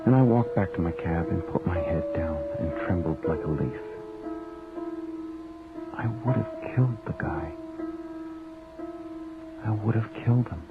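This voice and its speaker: Brooding Canadian cabbie, low-pitched and controlled, radio drama on a narrow, tinny recording. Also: male voice, animated, measured pace, long pauses, loud music behind the voice. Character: brooding cab driver, protective, angry husband. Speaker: lead actor and narrator. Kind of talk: naturalistic radio drama with first-person narration. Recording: tinny, band-limited old radio recording, faint noise.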